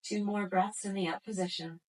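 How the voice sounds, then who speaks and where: A woman guiding a floor exercise class as instructor, giving slow, gentle, soothing cues. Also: medium pitch, steady tone, poor audio. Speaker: yoga instructor.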